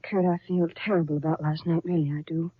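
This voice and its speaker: An actress playing a tense young bride-to-be in a 1930s radio soap, speaking in an emotional, halting, earnest way. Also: female voice, low voice, fairly even intonation, slightly rough, no room sound. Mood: anxious, apologetic. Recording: dull, lo-fi 1930s radio recording.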